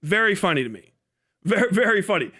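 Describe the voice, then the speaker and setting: A man's voice: sits high, big pitch swings, quick pace, bright, forceful tone. A man doing casual, profane live stream commentary, mocking and emphatic, repeating himself for effect. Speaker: live streamer reacting to a video.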